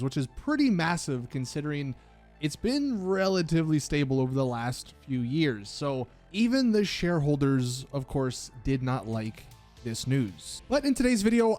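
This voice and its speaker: A man with a slightly high voice hosts a gaming news video. He explains things casually but with emphasis. The sound is dry and close, with light music underneath. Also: a little mellow, no echo. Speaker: gaming news YouTuber, host. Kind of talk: casual scripted-and-ad-libbed news commentary.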